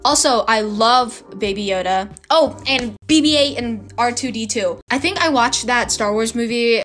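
A teenage girl chats into her phone for her solo podcast, dramatic and quick to poke fun at herself. Her voice sits a touch high and sounds slightly worn from talking so much, with faint music underneath. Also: a bit bright. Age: teens. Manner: chatty, dramatic, self-mocking. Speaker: podcast host. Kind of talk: casual solo podcast chat.

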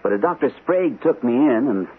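An actor playing a weary, apologetic captain in a 1970s radio drama, speaking haltingly. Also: male voice, mid-range voice, animated, natural voice. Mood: weary, hesitant. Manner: hesitant, halting. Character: weary, apologetic male captain recounting an ordeal. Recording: noisy, tinny old radio broadcast recording.

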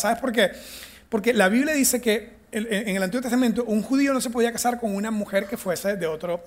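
A Venezuelan man teaching a lively Bible class, joking and acting out the characters as he tells the story. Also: sits high. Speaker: church teacher.

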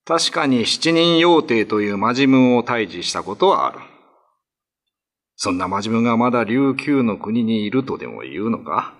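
A man acting a renowned, dignified Ryukyuan lord and demon slayer in a radio drama, speaking gravely in old-fashioned, commanding language. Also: mid-range voice, very animated, moderate pace, a bit bright. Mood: dignified, composed.

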